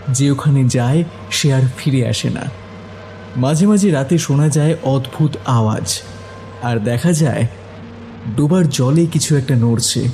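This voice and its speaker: A man's voice: medium pitch, dark tone. A man narrating a scripted horror story in a suspenseful storytelling style. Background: background music.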